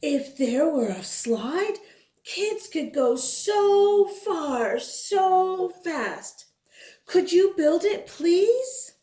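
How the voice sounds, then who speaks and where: An eager young storybook girl played by a woman reader, hopeful and emphatic. The voice is high and pleading, with unhurried phrases in an echoey room. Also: lively intonation, exaggerated voice. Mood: earnest pleading. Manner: bright-eyed, emphatic, pleading. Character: eager, hopeful young girls pitching playground ideas. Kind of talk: storybook character voice. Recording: roomy indoor echo.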